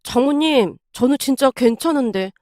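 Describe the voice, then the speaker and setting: A woman's voice: high voice, lively intonation, normal speed, darkish tone, dry recording. A woman narrator acts a good-natured, weary husband in a domestic audio story. He speaks hesitantly and politely, always deferring, in a very dry recording. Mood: polite, soothing.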